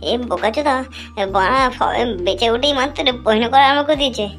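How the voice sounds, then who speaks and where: Woman acting a high-voiced, brash young village woman. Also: quick pace, a bit bright, soft background music, lightly acted. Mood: irritated scolding. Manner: scolding, blunt, grumbling, self-talking. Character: brash, foul-mouthed young village clothes-seller woman. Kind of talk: cartoon dialogue.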